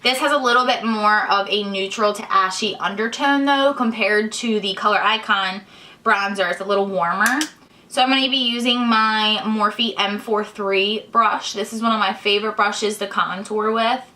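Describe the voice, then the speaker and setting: Hoarse, congested young woman vlogging. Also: medium pitch, normal speed, bright, forceful tone. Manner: casual, chatty, explains each step. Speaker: beauty vlogger. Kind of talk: chatty get-ready-with-me tutorial.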